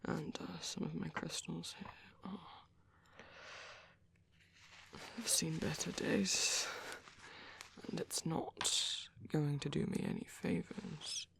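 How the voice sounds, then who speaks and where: A man playing a tender, caring vampire priest in an ASMR roleplay, speaking softly and hesitantly in a soothing, coaxing way. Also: on the high side, steady tone, takes it slowly, slight background noise, full character voice. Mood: gentle, hesitant. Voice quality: soft, breathy, hushed. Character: caring, tender vampire priest comforting his sleepy partner.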